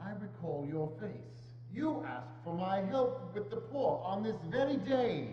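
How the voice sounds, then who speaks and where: A man's voice: sits high, sing-song, unhurried. A man playing a grumpy, shaken old miser with a hesitant, querulous manner in a dramatic reading. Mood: uneasy, submissive. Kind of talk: dramatic reading of a stage adaptation. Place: indoors, church. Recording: echoey church hall, dull sound, faint hum.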